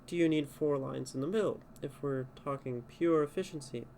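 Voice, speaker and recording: A man's voice: on the high side, moderate pace, vocal fry, darkish tone, slight background noise. Man thinking aloud over a poem. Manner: thinking aloud, reading lines back and reworking them. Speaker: streamer critiquing poetry. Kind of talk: casual spoken poetry critique. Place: home streaming setup.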